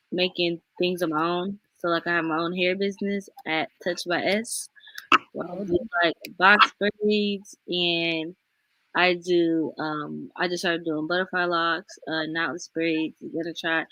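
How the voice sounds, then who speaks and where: A woman in her late teens talking on a phone, casual and hesitant, filling pauses with "like" and "um". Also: lowish voice, a bit bright, dry recording, poor audio. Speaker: guest.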